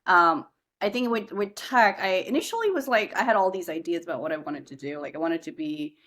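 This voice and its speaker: A woman's voice: fairly low pitch, expressive, fairly quick, fairly bright tone. A young woman from India, a startup founder, talking through her story as a podcast guest, chatty, with many fillers and restarts. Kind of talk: casual podcast interview.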